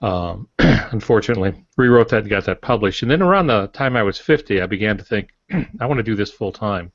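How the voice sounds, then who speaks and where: An older man chatting reflectively as a podcast co-host, unhurried and hesitant with frequent pauses. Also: fairly low pitch, expressive, no room sound. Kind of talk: casual reflective storytelling. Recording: dry but dull lo-fi audio.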